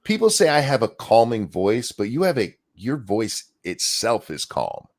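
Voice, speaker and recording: A man's voice: medium pitch, sing-song, unhurried. A man hosting a casual livestream chat, talking in a loose, rambling way with restarts. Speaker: host.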